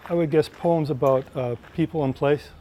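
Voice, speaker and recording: A poet speaking in a thoughtful, halting way, searching for his words during an outdoor interview in a park. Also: on the high side, fairly even intonation, warm tone, a little hiss or hum. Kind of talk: reflective interview talk.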